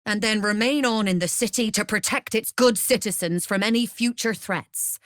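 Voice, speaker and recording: A woman's voice: mid-range voice, moderate pace, a bit bright, dry recording, studio quality, lightly acted. An actress playing a scheming, commanding female mercenary leader in a video game, persuasive and calculating. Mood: smug, persuasive. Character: scheming, smooth-talking female mercenary leader plotting a takeover. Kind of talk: video game character acting.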